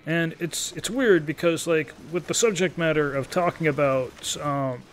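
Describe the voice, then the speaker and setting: A man in his early thirties with a slightly high voice, talking over faint background noise. He rambles through casual gameplay commentary, halting often and searching for words. His manner is informal, sweary and self-deprecating. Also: low-quality recording. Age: early 30s. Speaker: gaming video creator.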